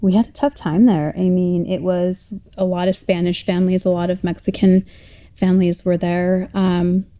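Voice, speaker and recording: A woman in her thirties from Colorado telling her family story in a reflective, halting way. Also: lowish voice, little pitch movement, warm tone. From Colorado, United States. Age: 30s. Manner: reflective, halting, with many fillers and restarts. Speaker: oral history storyteller. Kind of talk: personal storytelling.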